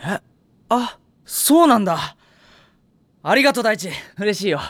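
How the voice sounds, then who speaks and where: A man voicing a friendly, easygoing senior high-school boy in an anime audio drama, gently playful and teasing. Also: big pitch swings, measured pace, dry recording, heavily acted. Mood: pleased, puzzled. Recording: music faintly behind the voice.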